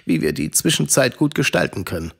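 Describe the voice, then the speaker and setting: A man's voice: low-pitched, sing-song, a little fast, vocal fry, fairly bright tone, breathy edge, full character voice. A man playing a kindly, patient grown-up storyteller explaining things to children in an audio drama.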